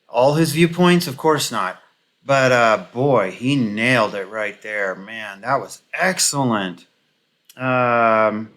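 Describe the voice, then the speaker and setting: A man hosting an off-the-cuff political commentary video, giving opinions in a halting, rambling, casual way. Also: medium pitch, fairly bright tone.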